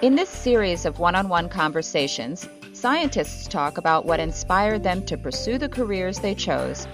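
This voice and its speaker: A woman announcer reading a formal, crisp podcast opening. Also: on the low side, sing-song, moderate pace, over music. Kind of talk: scripted show opener.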